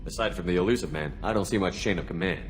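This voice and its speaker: Casting: male actor as authoritative military commander, very low voice, fast and clipped delivery, game dialogue. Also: slight background noise, lightly acted. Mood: businesslike. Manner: direct, questioning, authoritative. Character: brisk, businesslike male starship commander. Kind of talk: video game cutscene voice acting.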